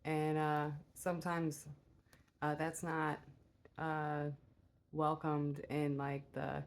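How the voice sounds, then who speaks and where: Soft-spoken low-voiced woman reading tarot. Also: slow pace. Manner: rambling and meandering, many filler "uh"s; relaxed and low-key. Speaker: tarot reader.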